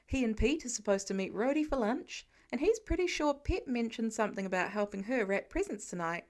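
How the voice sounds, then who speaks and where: A woman's voice: a little high, lively intonation, brisk. A woman narrating a scripted story as an amateur audiobook reader.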